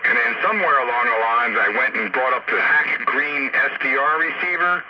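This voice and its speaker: A man's voice: mid-range voice, normal speed. An American man chatting casually as an amateur radio operator over a radio link. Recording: dull, very noisy radio transmission. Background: heavy radio noise.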